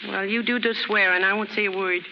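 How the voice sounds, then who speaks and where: A woman's voice: mid-range voice, fairly even intonation, brisk, lightly acted. A woman acting a naive, streetwise, poor young American chorus girl in slangy, ungrammatical speech on a 1930s radio drama. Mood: suspicious, frank. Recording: muffled, very noisy, reverberant 1930s radio recording.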